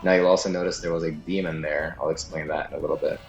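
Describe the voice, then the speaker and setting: A man with a low voice talks through a video game walkthrough in a casual, explanatory way. He hedges on details as he goes. The room sounds slightly echoey, with soft music underneath. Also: fairly even intonation, fast talker, gravelly, muffled recording. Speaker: guide narrator.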